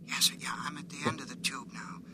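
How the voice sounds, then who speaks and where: An actor playing a timid, frightened spacewalker in a 1950s radio drama, speaking hesitantly and haltingly. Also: male voice, medium pitch, steady tone, in a whisper, lightly acted. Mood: nervous, careful. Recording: very noisy vintage radio recording.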